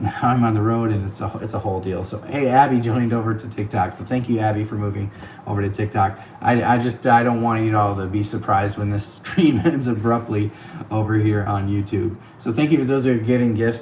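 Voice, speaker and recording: A man's voice: fairly low pitch, steady tone, brisk, darkish tone, roomy sound, slight background noise. A man hosting a casual livestream, chatty and thanking viewers by name, laughing often, his voice a bit worn. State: voice getting worn. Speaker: livestream host. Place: on the road, streaming from an iPad. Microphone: tablet mic.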